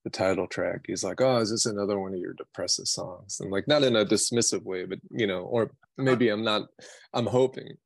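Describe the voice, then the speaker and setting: A man's voice: lowish voice, brisk, vocal fry, no echo. An American man from New York City, a singer-songwriter chatting casually in an interview in a rambling, slangy, self-deprecating way. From New York City, United States.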